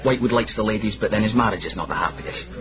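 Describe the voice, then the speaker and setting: An actor playing a sardonic, hard-drinking advocate in a radio drama, wry and theatrically declaiming. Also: male voice, fairly low pitch, racing, a crowd in the background, close to an everyday voice. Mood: probing. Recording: muffled radio recording, crowded tavern.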